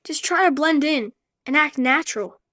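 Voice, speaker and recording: A very high-pitched young boy, acted by a woman, cautious yet determined. He is an orphan hero of a radio drama, recorded dry and close. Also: lively intonation, normal speed, sharp tone, dry recording. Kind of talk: radio play acting.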